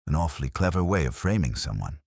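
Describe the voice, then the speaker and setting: A man voicing a composed, genteel village woman in a mystery story, speaking with quiet, restrained dignity. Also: a bass voice, big pitch swings, fast, breathy edge, dry recording, studio quality, full character voice. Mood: guarded.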